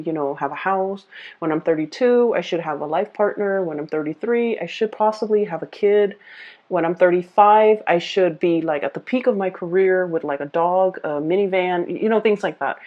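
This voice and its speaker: A woman tarot reader speaking casually and off the cuff to viewers, often pausing and repeating herself as she searches for words. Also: fairly low pitch, dull audio. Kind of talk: casual, improvised tarot reading addressed to viewers.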